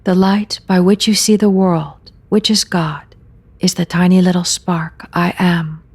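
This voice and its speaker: A woman leading a guided meditation, speaking in measured, deliberate phrases with soft endings and pauses. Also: fairly low pitch, level tone, breathy edge. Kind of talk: guided meditation, slow spoken readings with long pauses. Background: meditation music at beginning and end.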